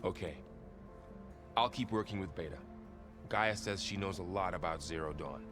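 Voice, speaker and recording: A gentle young male companion played by a man in a post-apocalyptic game, speaking earnestly in a deep voice. He is considerate and soft-spoken, with music clearly playing beneath him. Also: normal speed, natural voice. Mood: accepting, hopeful. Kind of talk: video game acting. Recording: music under the voice.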